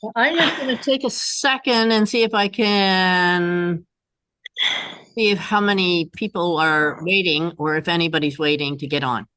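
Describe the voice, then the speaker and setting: A woman's voice: on the low side, a little slow, a bit bright, no echo, rough recording. A woman talking casually and unscripted while facilitating a meeting.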